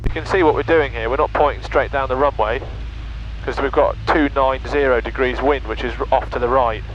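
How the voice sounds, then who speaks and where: A man flying a small plane, chatting with a passenger and explaining each step in a relaxed way over a headset intercom. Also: mid-range voice, brisk, muffled recording, a little hiss or hum. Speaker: pilot and vlogger. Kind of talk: casual chat and radio calls while flying. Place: small aircraft cockpit in flight.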